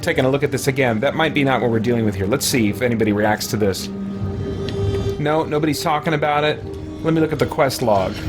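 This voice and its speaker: A middle-aged man giving casual live let's-play commentary, musing and thinking aloud in halting asides. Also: medium pitch. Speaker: game streamer. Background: game music.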